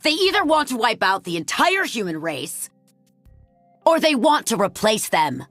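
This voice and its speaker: A woman playing a cool, analytical military aide in a dramatic video game, measured and matter-of-fact. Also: on the high side, very animated, fairly bright tone, no room sound, soft background music. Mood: cool, pensive.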